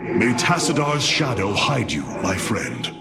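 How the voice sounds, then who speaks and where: A man's voice: fairly low pitch, big pitch swings, a little slow, vocal fry, music in the background, heavily acted. An actor playing a noble young male alien leader in a video game, speaking in an earnest, formal way. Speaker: game character. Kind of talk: video game cutscene voice acting.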